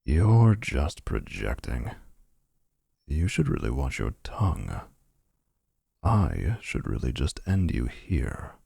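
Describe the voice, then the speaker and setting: A man's voice: very deep voice, huge pitch swings, slow pace, gravelly, warm tone, slightly rough, dry recording, studio quality, exaggerated voice. A man voicing a possessive, smug male vampire suitor in a boyfriend roleplay, talking in a menacing, boastful way. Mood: threatening.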